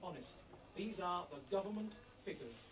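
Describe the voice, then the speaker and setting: A man's voice: high voice, lively intonation, moderate pace, a bit bright. A man acting an outspoken, indignant TV weatherman in a screen drama, emphatic and sardonic.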